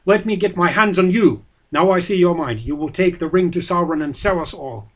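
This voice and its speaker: A man's voice: a little high, animated, normal speed, husky. A man voicing a proud, desperate grown warrior, declaiming with an impassioned, raised voice. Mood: raging. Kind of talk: dramatic reading of a novel.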